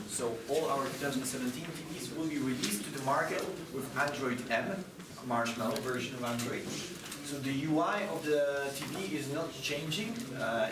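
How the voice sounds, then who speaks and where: A man giving a live product demonstration, speaking haltingly with many filler pauses. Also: mid-range voice. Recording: noisy, echoey room, distant microphone.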